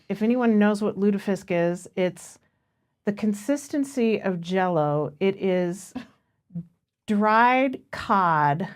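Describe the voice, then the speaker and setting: A woman's voice: mid-range voice, a little mellow. A woman from Minnesota with an American accent, co-hosting a podcast and telling stories in a casual, conversational way. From Minnesota, United States. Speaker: podcast co-host.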